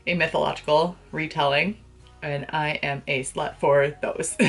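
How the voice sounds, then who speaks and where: A woman's voice: low voice, a bit bright. A young adult woman chatting casually to camera about books in a gushing, playful, slangy way, recorded in a bedroom. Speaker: book vlogger. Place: small bedroom, indoors.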